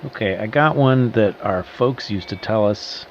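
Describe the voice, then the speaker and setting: Dull-sounding, slow-talking storytelling buddy, male. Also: on the low side. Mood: teasing. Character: trapper or observer, male old friend swapping fireside stories, teasing. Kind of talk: casual banter in audio drama. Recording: dull lo-fi radio sound, faint noise.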